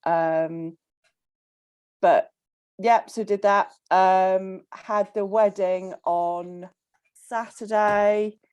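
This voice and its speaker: A woman on a casual coaching call, croaky from illness, chatting with frequent ums and laughs. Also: medium pitch, steady tone, very slow, long pauses, a little mellow, dry recording. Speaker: coaching client.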